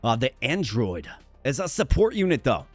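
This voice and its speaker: A man's voice: mid-range voice, very animated, normal speed, slightly rough, dry recording, soft background music. A man streaming a game, talking casually and upbeat to his viewers, with a strained, worn voice and an occasional stutter. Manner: upbeat, chatty, addresses viewers directly; stutters sometimes, repeats words. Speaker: gaming streamer. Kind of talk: casual live-stream commentary.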